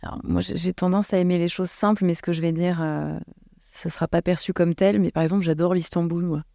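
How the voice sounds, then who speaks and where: Low gravelly-voiced woman, mellow tone. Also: fairly even intonation, brisk, dry recording, clean studio sound. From France. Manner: thoughtful, hesitant with many restarts. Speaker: guest. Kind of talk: casual podcast conversation.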